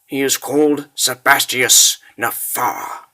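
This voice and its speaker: A man narrating a dark fantasy story in a theatrical, ominous style with deliberate phrasing. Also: mid-range voice, fairly even intonation. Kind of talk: dramatic scripted fantasy narration.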